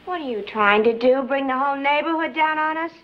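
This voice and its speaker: An actress plays an indignant young college woman who fires back sharp, scolding retorts. Her voice is high. The recording is a dull 1960s film soundtrack. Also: fairly quick, natural voice. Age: young adult. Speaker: college student.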